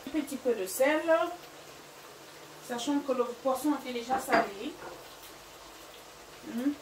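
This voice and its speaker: A woman's voice: high-pitched, takes it slowly, reverberant, recorded from a distance, heavy background noise. A woman from Gabon and Equatorial Guinea, French not her first language, chatting through a home cooking vlog with many fillers. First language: Fang or another local language of Equatorial Guinea. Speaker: cooking channel host. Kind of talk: casual cooking vlog talk. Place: home kitchen.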